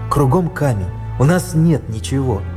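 An actor playing a proud, unyielding and skeptical army captain and judge in a radio drama, speaking curtly and challengingly. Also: male voice, mid-range voice, expressive, normal speed, close to an everyday voice. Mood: defensive, indignant. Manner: curt, challenging. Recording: radio play with music behind.

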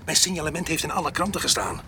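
An actor playing a rough, weary fugitive murderer in a radio drama, gruff and plain-spoken but fatherly to his comrade. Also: a little high, very animated, very fast, background noise. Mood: urgent, fatherly.

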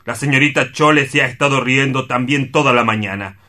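An actor playing a gloomy, solemn and indignant war-scarred orderly in a radio play, grave, formal and plaintive. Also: male voice, medium pitch, a little fast, pushed and bright, natural voice.

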